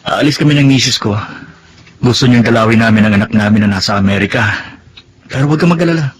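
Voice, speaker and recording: An actor with a Filipino accent playing an indulgent middle-aged married lover in a radio drama, wooing and reassuring. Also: male voice, mid-range voice, fast, a little hiss or hum, close to an everyday voice. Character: Roger, indulgent married sugar daddy, smooth suitor.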